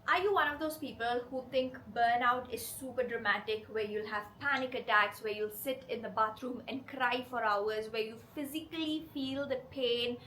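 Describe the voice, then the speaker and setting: A woman with a cold that leaves her voice hoarse and rough, hosting her channel and talking earnestly and directly to her viewers. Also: a little high, normal speed, few pauses, fairly bright tone, slight background noise, poor audio. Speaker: channel host. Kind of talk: confessional vlog talk to camera.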